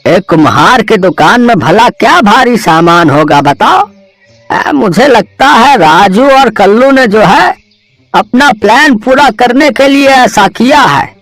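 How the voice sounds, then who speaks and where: A man voicing an elderly, kindly village potter who gives gentle, fatherly advice in a cartoon story. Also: very high voice, lively intonation, takes it slowly, no echo, faint music behind, full character voice. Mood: advising.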